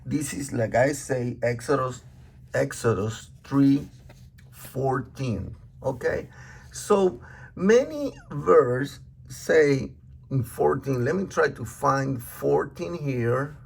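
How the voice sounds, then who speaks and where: Man, hebrew native speaker, slow halting english, gravelly husky voice, earnest. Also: mid-range voice, lively intonation. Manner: halting, searching for words, many restarts and fillers; earnest and emphatic. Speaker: Bible teacher. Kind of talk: casual talk to camera.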